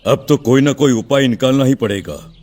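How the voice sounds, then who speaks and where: A man's voice: medium pitch, moderate pace, a little mellow, close to an everyday voice. A man playing a serious, pondering policeman on an investigation, in cartoon dialogue. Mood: worried. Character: investigating policeman, matter-of-fact and concerned.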